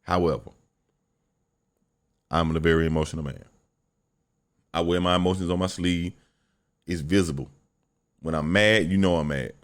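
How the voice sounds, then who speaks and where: A young man hosting a solo podcast, talking bluntly and profanely in a deep voice, telling a story with lots of animation. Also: steady tone, normal speed, long pauses. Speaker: podcast host.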